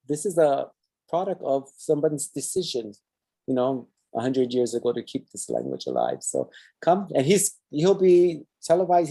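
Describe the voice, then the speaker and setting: Gravelly Hawaiian Pidgin-accented male host. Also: medium pitch, warm tone. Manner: chatty and rambling, reads viewer comments aloud, mixes in Hawaiian words. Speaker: talk show host. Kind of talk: casual live-stream sign-off chat.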